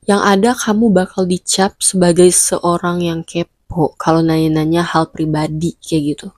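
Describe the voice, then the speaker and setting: A woman's voice: lowish voice, level tone, moderate pace, a little mellow, no echo, clean studio sound. A young woman with a stuffy nose from a cold, giving chatty advice in a casual vlog, slipping in English phrases and playfully scolding her viewers. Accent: Indonesian. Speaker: vlogger.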